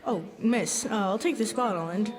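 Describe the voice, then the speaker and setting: A woman's voice: mid-range voice, moderate pace, lightly acted. A woman playing a mild, easily flustered middle-aged father, musing aloud in classic radio comedy style. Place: school theatre stage. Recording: live stage recording in reverberant hall.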